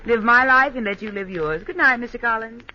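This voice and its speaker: A woman's voice: a little high, fairly quick, pushed and bright, slight background noise. An actress playing a wary young working woman drawn into danger in a 1950s American radio drama. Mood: skeptical, teasing. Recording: muffled 1950s radio recording.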